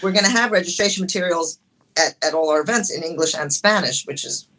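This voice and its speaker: Woman guest, bright and forceful tone, passionate, stumbles and restarts. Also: on the low side, rough recording. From Ecuador. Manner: passionate, halting with many restarts. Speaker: guest musician. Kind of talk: casual interview chat.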